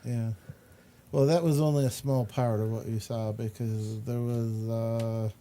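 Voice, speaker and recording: Slow-talking, mellow man chatting as host. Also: mid-range voice, flat delivery. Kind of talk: casual chat. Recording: faint background noise.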